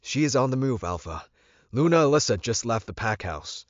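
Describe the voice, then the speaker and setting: A man's voice: medium pitch, very animated, moderate pace, no echo, pitched above the performer's own voice, natural voice. An anxious young werewolf underling acted by a male narrator reports in a submissive way. The voice is lifted above the narrator's own, in a dry, slightly dull audiobook recording. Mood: urgent.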